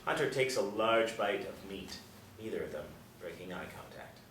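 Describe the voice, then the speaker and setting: Lowish-voiced man narrating in an echoey room. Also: animated, normal speed. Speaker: narrator reading stage directions. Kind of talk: scripted narration at a table reading. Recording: roomy, distant mic, faint noise.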